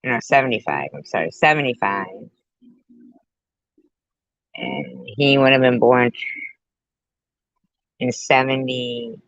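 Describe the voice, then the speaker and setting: A woman's voice: a bass voice, unhurried, dry recording, highs cut off. A woman in her fifties hosting a reaction commentary, blunt and sarcastic, often repeating herself and swearing. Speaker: commentary host.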